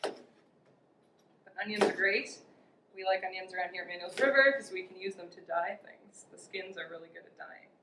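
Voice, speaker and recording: A young woman hosting a casual outdoor cooking demo, chatty and quick to laugh. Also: medium pitch. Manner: chatty, laughs often, upbeat. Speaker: outdoor cooking show host. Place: outdoor cooking spot at a river park. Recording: echoey, distant, faint noise.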